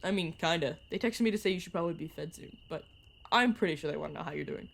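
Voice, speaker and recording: A teasing young vampire mentor acted by a woman for an audio roleplay, pitched on the low side. The delivery is chatty and sarcastic, like a playful guide talking one-on-one to a newcomer. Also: quick pace, vocal fry. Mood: relaxed, teasing. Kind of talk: narrative audio roleplay, one-sided conversation.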